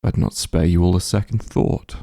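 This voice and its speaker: A man playing a powerful, calm and smug demon lord in a fantasy ASMR roleplay, unhurried and condescending, with a gravelly, husky low voice. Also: dry recording, clean studio sound. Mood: annoyed, threatening. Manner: unhurried, condescending, softly commanding.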